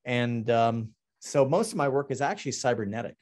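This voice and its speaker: A middle-aged man talking casually about his artwork on a video call, halting with many ums and restarts. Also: medium pitch, lively intonation, darkish tone. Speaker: artist presenting his work. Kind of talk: casual talk over a slide presentation.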